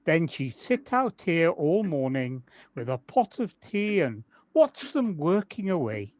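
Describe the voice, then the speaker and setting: An actor playing a kindly, modest gardener in an audio drama, hesitant and polite with slight stammers. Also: male voice, fairly high pitch, very animated, slow, darkish tone, dry recording. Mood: gentle, modest. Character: kindly, hesitant village gardener, Alan, modest and nature-loving. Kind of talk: amateur audio drama acting.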